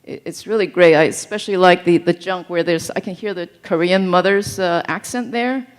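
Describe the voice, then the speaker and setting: A woman's voice: fairly low pitch, moderate pace. A woman hosting a festival event, chatty and stumbling as she introduces the films. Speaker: event host. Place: theater.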